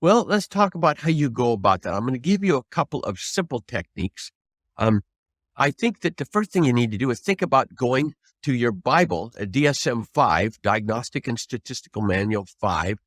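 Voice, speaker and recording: A man's voice: mid-range voice, big pitch swings, normal speed, a bit bright, dry recording, studio quality. An older man, a trial lawyer, giving advice as an interview guest in an earnest, emphatic, folksy way with rhetorical questions.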